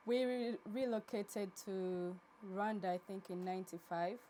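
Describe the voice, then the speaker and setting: A woman in her thirties from Uganda, a guest on an interview, telling her story in a relaxed, soft-spoken way. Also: medium pitch, unhurried, a little hiss or hum. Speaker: interview guest, charity co-founder. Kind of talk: casual interview.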